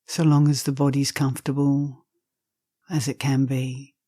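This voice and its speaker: Woman, meditation teacher, dark mellow tone, soft breathy voice, gentle slow guidance, long pauses, very dry close sound. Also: rumbling low, flat delivery, no room sound.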